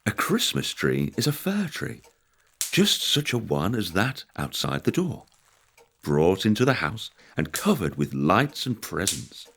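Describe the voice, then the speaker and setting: A patient dad in an audio drama, real deep voice, moves around a lot, fond storyteller. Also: male voice, huge pitch swings, measured pace, a bit bright, slight background noise, exaggerated voice. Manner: patient, descriptive explaining. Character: English emigrant father, fond, patient storyteller.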